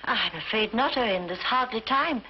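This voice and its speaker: An actress playing a prim, coldly polite older sister with formal, cutting delivery in a 1940s British film. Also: female voice, fairly high pitch, animated, normal speed, lightly acted. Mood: acidly cutting. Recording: muffled, hissy 1940s film soundtrack.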